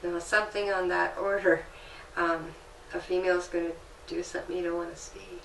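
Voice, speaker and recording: A woman working as a Reiki practitioner, calmly explaining while guiding a healing session in an indoor room. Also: fairly low pitch, brisk, vocal fry, slight background noise. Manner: calm, explanatory, gently instructive. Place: indoor treatment room with fan. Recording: echoey room, mic at a distance.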